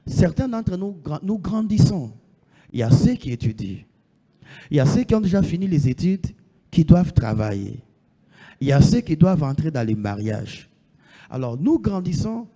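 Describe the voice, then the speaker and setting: A man's voice: on the high side, mellow, big-room sound. A man in his thirties preaching a sermon, asking the congregation questions and answering them himself, repeating his phrases. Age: 30s.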